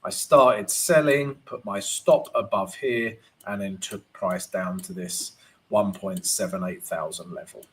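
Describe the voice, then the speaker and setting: Man, gravelly today, lively pitch, live chart analysis, keeps checking in with "right?". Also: a little hiss or hum. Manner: animated, keeps asking "right?", greets chat viewers. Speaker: forex trading livestream host.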